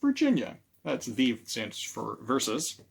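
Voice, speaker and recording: A man hosting a library storytime for children, animated and speaking directly to his listeners as he presents and tells stories. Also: mid-range voice, slow, gravelly, a little hiss or hum. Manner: animated, repeats key phrases, addresses listeners directly.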